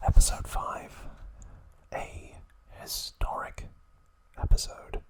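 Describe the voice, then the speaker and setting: A man whispering slowly and deliberately in short, hushed phrases, narrating as an ASMR host close to the microphone. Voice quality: breathy, unvoiced whisper.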